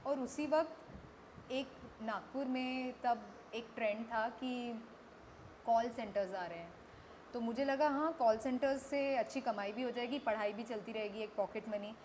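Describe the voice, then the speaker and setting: High-voiced Indian woman in candid conversation. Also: unhurried, a little mellow, a little hiss or hum. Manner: reflective, mixes Hindi with English phrases. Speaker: interview guest, radio jockey and environmental activist.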